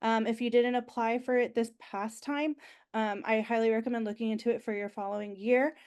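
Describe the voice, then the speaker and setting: A woman's voice: a little high, fairly even intonation, a little fast, darkish tone, clear, resonant tone. A young woman with a nasal voice, recovering from being sick, giving an enthusiastic, chatty orientation presentation with frequent ums. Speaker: university alumni relations staff presenter.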